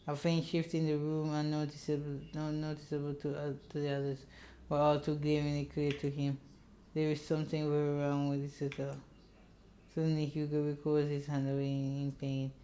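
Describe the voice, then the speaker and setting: Low-voiced woman narrating a horror game. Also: monotone, moderate pace, dark tone, a little hiss or hum, low-quality recording. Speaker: game streamer reading a visual novel aloud. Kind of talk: dramatic narration with casual asides.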